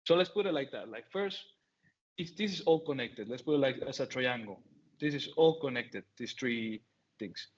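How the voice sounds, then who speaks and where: A man's voice: mid-range voice, animated, normal speed, breathy edge. Venezuelan man with a Spanish accent, hesitant talk, full of fillers and restarts, muffled video call sound. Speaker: guest speaker. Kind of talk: online talk.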